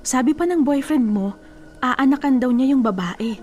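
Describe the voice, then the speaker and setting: An actress playing a blunt, bossy female cousin in a radio drama, teasing and scolding with a sharp tongue. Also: high voice, animated, moderate pace, slight background noise.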